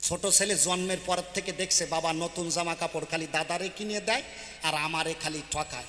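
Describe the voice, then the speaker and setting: A man's voice: on the high side, steady tone, fairly quick, pushed and bright. A man telling comic stories on stage, conversational, repeating phrases and checking in with listeners. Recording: very echoey hall, faint noise.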